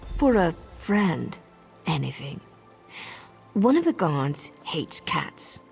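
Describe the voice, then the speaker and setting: Sly, low-voiced female assassin, swooping delivery. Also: big pitch swings, slow, creaky, darkish tone, faint music behind, full character voice. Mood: sly scheming. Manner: sardonic, playful, conspiratorial. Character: sly female assassin in servant's disguise, sardonic and teasing. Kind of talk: video game character acting.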